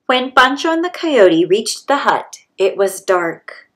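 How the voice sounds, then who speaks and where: Slow-paced woman reading a storybook. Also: medium pitch, sing-song, fairly bright tone. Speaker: storybook reader. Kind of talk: children's story read-aloud.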